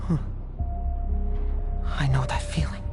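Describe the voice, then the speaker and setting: A man's voice: takes it slowly, over music, lightly acted. A man voicing a cool, self-assured young knight officer in a video game, composed and wry with dramatic phrasing. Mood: stern, caring.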